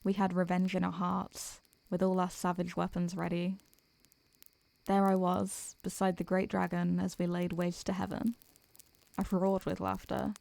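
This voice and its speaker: A woman playing an ancient, doting succubus in an intimate roleplay audio, speaking softly and gently in an affectionate, unhurried way. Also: lowish voice, vocal fry, dry recording.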